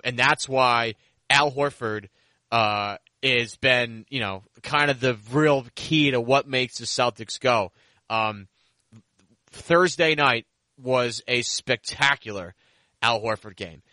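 A man's voice: mid-range voice, bright, forceful tone, no room sound. A man hosting a sports podcast, talking emphatically and piling up superlatives. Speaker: podcast host. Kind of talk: sports talk and host-read ad.

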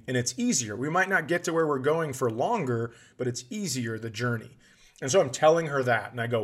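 A man's voice: mid-range voice, fairly bright tone. A man hosting a podcast, telling a casual comedic story in a profane, exasperated way and acting out the dialogue. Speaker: podcast host.

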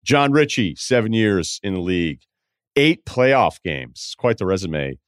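Low-voiced man talking sports, dry close sound. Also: sing-song, measured pace, no echo. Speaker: sports podcast host. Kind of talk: conversational sports commentary.

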